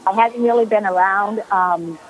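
A woman's voice: mid-range voice, moderate pace, poor audio. A woman in her forties from Brazil, a guest on a radio call-in interview, telling her story earnestly with many fillers. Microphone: phone call.

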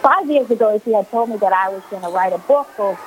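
A woman's voice: mid-range voice, quick pace, poor audio. A woman in her forties from Brazil, a guest on a radio call-in interview, telling her story earnestly with many fillers. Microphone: phone call.

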